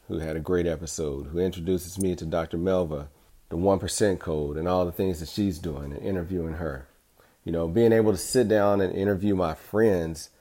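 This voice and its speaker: A man's voice: low-pitched, warm tone. A middle-aged man hosting a podcast, speaking in an earnest, encouraging way in a reflective motivational monologue. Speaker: podcast host.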